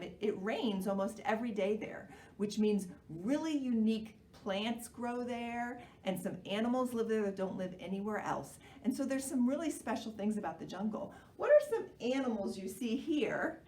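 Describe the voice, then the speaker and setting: An American woman presenting a children's storytime in an animated way, explaining simply and asking young children questions. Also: mid-range voice. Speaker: children's library storytime host. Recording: roomy, distant microphone, faint noise.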